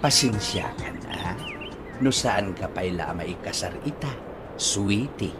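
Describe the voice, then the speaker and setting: A man's voice: low voice, lively intonation, slow pace, over music. A man with an Ilocano accent playing a boastful, doting older father in a radio comedy drama, with exaggerated, teasing delivery. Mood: coaxing. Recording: noisy track with chirping birds.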